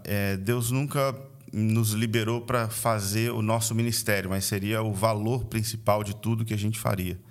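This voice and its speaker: A man with a capixaba accent from Espírito Santo, in his late thirties, speaking in a fairly low voice. He tells his own story as a podcast guest in an earnest, reflective way. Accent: Brazilian Portuguese, Espírito Santo (capixaba). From Vitória, Espírito Santo, Brazil. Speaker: guest, missionary and church leader. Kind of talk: conversational podcast interview.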